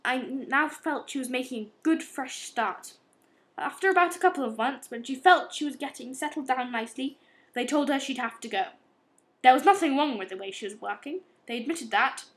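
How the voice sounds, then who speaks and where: Stern, deliberate inspector for amateur radio play, high register female performer. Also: a bit bright. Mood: measured. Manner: measured, deliberate, firm. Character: stern, insistent, morally severe police inspector.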